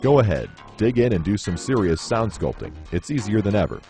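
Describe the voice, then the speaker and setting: A low-pitched male narrator delivers scripted promotional copy with a polished, instructional delivery. Music plays prominently behind his voice. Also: sing-song, a little fast, warm tone. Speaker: product demo narrator. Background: synthesizer music.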